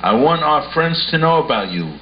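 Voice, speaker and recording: A man playing a formal, commanding wealthy gentleman with a deliberate manner, in an amateur puppet show. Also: on the high side, very animated. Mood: formal, grateful. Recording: muffled home recording with hiss.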